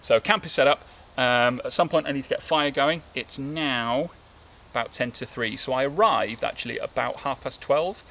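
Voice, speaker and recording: Bloke in his 30s rambling to camera outdoors, bit gravelly, lots of ums, faint wind noise behind. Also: medium pitch, moderate pace. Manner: rambling, relaxed, many fillers and restarts. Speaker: bushcraft vlogger. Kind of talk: casual talk to camera. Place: outdoors in winter woodland, seated under a tarp. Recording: light outdoor background noise.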